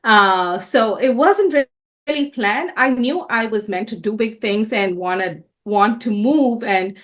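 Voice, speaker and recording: A woman's voice: fairly high pitch, expressive, measured pace, fairly bright tone. A woman in her thirties, born and raised in India, telling her life story as an interview guest, earnest and often restarting her sentences. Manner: earnest, many restarts and self-corrections. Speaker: entrepreneur guest.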